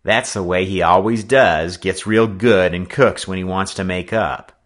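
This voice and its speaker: A man's voice: low voice, steady tone, unhurried. Man reading as a blunt, plucky young sailor. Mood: wary. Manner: plain-spoken, blunt. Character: plucky, loyal young sailor lad, firm and wary. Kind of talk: audiobook character acting.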